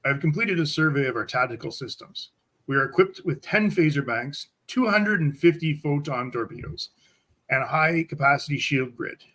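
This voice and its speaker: Guy doing formal calm starship officer, kinda high voice, radio play, clipped. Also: normal speed. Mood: reporting. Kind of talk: amateur podcast radio play reenactment.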